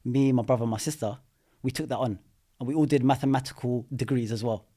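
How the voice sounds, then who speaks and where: A man in his thirties talks as a podcast guest. He is relaxed and conversational, leaning on fillers and restarts as he tells his story. His pitch is ordinary for a man. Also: brisk. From London. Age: 30s. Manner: relaxed storytelling, many fillers like "you know". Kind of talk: casual interview chat. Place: studio.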